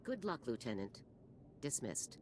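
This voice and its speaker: A woman's voice: very deep voice, big pitch swings, unhurried, darkish tone, slight background noise, full character voice. An actress playing a stern female military general in a video game, speaking in a formal, authoritative, clipped manner. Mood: commanding. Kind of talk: video game character acting.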